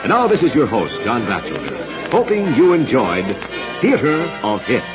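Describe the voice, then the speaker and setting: A man's voice: mid-range voice, wildly swooping pitch, normal speed. An American man announcing as a radio program host in a formal, polished, theatrical old-time style, reading from a script. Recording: dull old radio transcription, music behind. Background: music.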